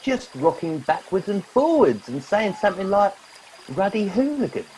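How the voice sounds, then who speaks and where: A man's voice: high voice, sing-song, normal speed, a little mellow, rough recording. A man acts a naive young mummy's boy of a soldier in a radio comedy. His voice is whiny and sing-song, swinging widely, over faint background noise. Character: sulky, childish young private, mummy's boy. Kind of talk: comic radio play acting.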